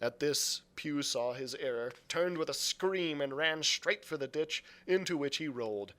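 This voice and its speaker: A man narrates a storybook adventure aloud in a dramatic reading style. His voice sits a little higher than most men's. It has a bright, forceful tone that suits a librarian reading to listeners. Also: expressive, a little slow.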